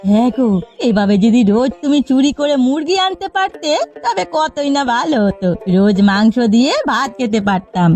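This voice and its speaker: A woman voicing a greedy, doting village wife, wheedling, in an animated folk tale. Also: high voice, moderate pace, soft background music. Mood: pleased, scheming.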